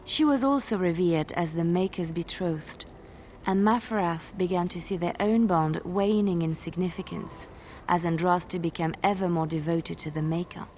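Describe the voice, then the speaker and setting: Fantasy game bard, played by an actress: young woman, devout, measured and solemn, on the lower side. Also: darkish tone, slight background noise, lightly acted, low-quality recording. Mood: solemn storytelling. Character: earnest, devout young female bard telling sacred legends. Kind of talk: dramatic storytelling in a fantasy video game.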